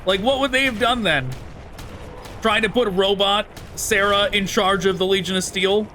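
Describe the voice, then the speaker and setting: A man's voice: high voice, moderate pace, pushed and bright, slight background noise. A man giving casual, informal let's play commentary with amused musing as he plays. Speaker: gaming streamer.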